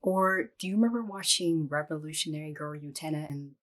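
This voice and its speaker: A woman's voice: low-pitched, a little fast, heavy background noise. A young woman from Barbados, telling personal stories in an eager, reflective way in a casual vlog. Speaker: vlogger.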